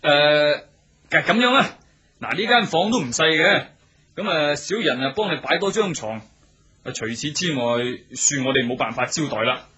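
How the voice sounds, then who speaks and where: A man's voice: on the high side, lively intonation, normal speed, pushed and bright, highs cut off. A man playing an eager, deferential innkeeper in a radio drama, chatty and full of small interjections, with a Hong Kong Cantonese accent. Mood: obliging. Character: eager, talkative, obliging male innkeeper serving travellers.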